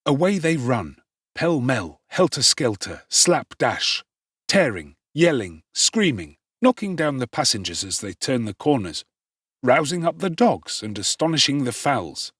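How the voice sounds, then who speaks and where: A man's voice: mid-range voice, big pitch swings, unhurried, a bit bright, slightly rough, dry recording, clean studio sound. A man narrating a classic novel in a dramatic, theatrical and emphatic style with precise delivery. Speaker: audiobook narrator.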